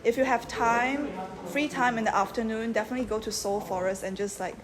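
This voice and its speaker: A young woman student in a relaxed, casual way, listing her favorite places to visit. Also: on the high side, brisk, background noise. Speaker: summer school student. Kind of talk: casual recommendations.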